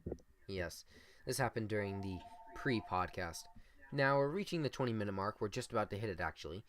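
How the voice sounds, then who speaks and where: A young man with a deep, monotone voice co-hosting a casual improvised podcast and rambling through his points on a desktop USB mic. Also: a little fast, a little hiss or hum. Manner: rambling, filler-heavy. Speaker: podcast co-host.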